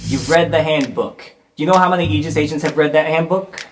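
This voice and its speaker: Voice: mid-range voice, fast, lightly acted. Game master voicing a curt, condescending shark agent over music. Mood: dismissive, condescending. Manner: dismissive, curt, patronizing. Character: brusque, condescending hammerhead shark government agent, female.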